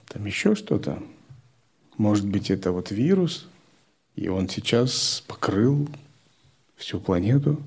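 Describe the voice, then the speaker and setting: A man giving a spiritual lecture, explaining step by step in a calm, methodical way. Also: medium pitch, slightly rough. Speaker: spiritual teacher.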